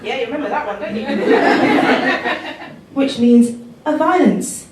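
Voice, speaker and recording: A woman's voice: high-pitched, animated, takes it slowly, heavy background noise. A woman from Jamaica performing a stand-up comedy set, wry and self-mocking, laughing at her own lines. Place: comedy club stage. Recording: echoey club from far off. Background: audience laughter.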